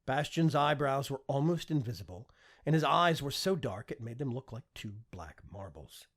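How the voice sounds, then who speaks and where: Man, audiobook narrator, animated intonation, mid-pitched, slightly raspy, scripted fiction. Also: moderate pace.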